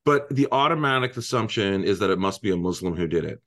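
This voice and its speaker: A man in his fifties from Iran hosting a casual panel discussion, animated, laughing often and breaking into asides. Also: low voice, quick pace, dry recording, studio quality. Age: 50s. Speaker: host.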